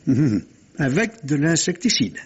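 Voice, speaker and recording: Un comédien joue un inspecteur de police méthodique et courtois, à la voix voilée, poliment insistant, dans un feuilleton policier radiophonique à l'ancienne. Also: male voice, fairly high pitch, very animated, husky, full character voice. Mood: cautious, probing. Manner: deliberate, insinuating, politely insistent. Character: methodical, courteous, probing male police inspector. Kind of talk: old French radio mystery acting. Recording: dull lo-fi vintage radio sound.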